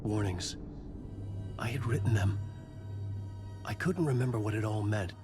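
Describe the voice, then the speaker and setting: An actor playing a haunted, brooding writer, narrating a horror game in a grave, measured, introspective way. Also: male voice, on the low side, lightly acted. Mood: haunted, uneasy. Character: haunted male writer trapped in a nightmare, brooding. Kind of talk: scripted first-person narration in a psychological horror game. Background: climactic cinematic music.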